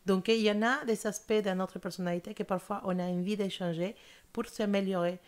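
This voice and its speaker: A woman's voice: medium pitch, steady tone, fairly quick, a little mellow. A young woman whose first language is not French, giving a monthly tarot reading in an earnest, hesitant way with many fillers and restarts. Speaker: tarot reader.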